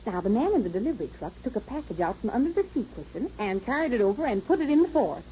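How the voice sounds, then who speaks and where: An actress playing a garrulous, flattered, homely American shopkeeper who talks in flustered colloquial style in an old radio drama. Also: female voice, on the high side, very fast. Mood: earnest recounting. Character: chatty, folksy, flattered small-eatery proprietress. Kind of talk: 1930s radio acting. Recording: muffled, noisy vintage radio recording.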